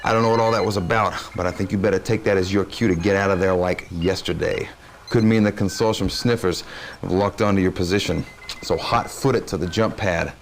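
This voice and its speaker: A cocky young male hacker from a game cutscene. He wisecracks in slang, in a medium to lowish voice, with faint noise behind him. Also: fairly even intonation, brisk. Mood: wry, urgent. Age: young adult. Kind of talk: video game cutscene acting.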